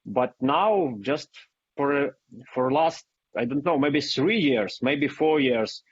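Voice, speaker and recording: A man's voice: medium pitch, slightly rough, no room sound, muffled recording. A man from Ukraine, a Russian speaker, answering interview questions in English, hesitant and full of fillers. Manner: hesitant, many fillers and restarts. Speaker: guest runner.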